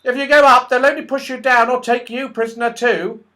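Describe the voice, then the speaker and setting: A man's voice: very high voice, little pitch movement, fairly quick, sharp tone, voice pushed up. A man voicing a tiny red squirrel in a children's storybook, with a thin voice and an urgent, worried manner. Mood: agitated, worried. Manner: agitated, urgent explaining. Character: tiny little red squirrel, anxious messenger.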